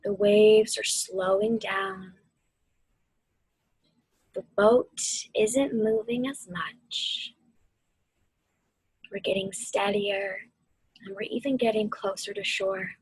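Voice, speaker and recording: A woman mindfulness instructor speaks very slowly in a soft, airy voice that often sinks toward a whisper. Her delivery is soothing and deliberate, as in a guided meditation for children. The sound is close and dry. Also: mid-range voice, fairly even intonation, breathy edge, dry recording, low-quality recording. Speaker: mindfulness instructor and host of a weekly video series.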